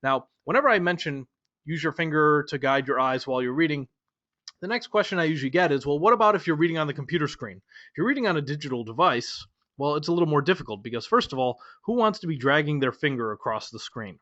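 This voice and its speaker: A man's voice: a little high, animated, fast, a bit bright, dry recording, muffled recording. A man in his thirties from Chicago, whose first language is Polish, teaching a speed reading lesson in a loose, conversational way with fillers and restarts. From Chicago, USA. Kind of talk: lecture to a class.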